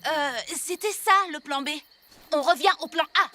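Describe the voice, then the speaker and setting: Woman as a high-pitched, determined cartoon child hero. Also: normal speed, heavy background noise, close to an everyday voice. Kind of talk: French children's cartoon acting. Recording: loud music under the voice.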